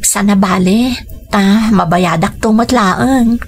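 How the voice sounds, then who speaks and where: A woman's voice: mid-range voice, takes it slowly, slight background noise. An actress in a radio drama playing a dutiful, yielding young woman who works abroad. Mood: resigned.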